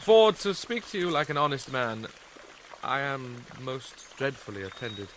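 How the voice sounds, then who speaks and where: An actor playing a young prince feigning madness, sardonic, playful and bitter in a theatrical Shakespearean radio drama style. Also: male voice, mid-range voice, very animated, a little slow, fairly bright tone, slight background noise. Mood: probing.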